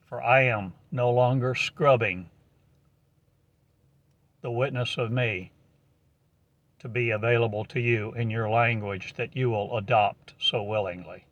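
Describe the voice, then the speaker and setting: Slow, halting man preaching prophecy. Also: mid-range voice, fairly even intonation, fairly bright tone, dry recording, dull audio. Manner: slow, halting declamation in archaic phrasing. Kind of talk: prophetic monologue.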